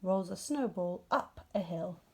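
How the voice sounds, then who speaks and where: Storybook rabbit, male played by a woman: gloomy, haughty expert, stern and lecturing, with lively pitch swings. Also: unhurried, breathy edge, full character voice. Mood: pompous. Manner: self-important, lecturing, severe. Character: grumpy, gloomy, pompous know-it-all male rabbit. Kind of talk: children's storybook character.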